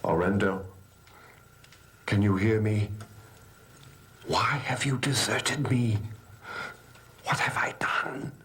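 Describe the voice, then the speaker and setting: A tormented man in a gothic TV soap. His voice is low and forceful, and he speaks slowly with dramatic intensity. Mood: anguished. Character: tormented man pleading with a spirit. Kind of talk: gothic soap opera acting.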